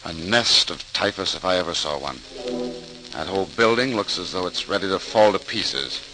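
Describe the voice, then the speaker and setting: An actor playing an earnest, inquisitive surgeon of about thirty in an old-time radio drama, polite and thoughtful. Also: male voice, low voice, moderate pace, over music, close to an everyday voice, poor audio. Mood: concerned. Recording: old radio recording, faint hiss.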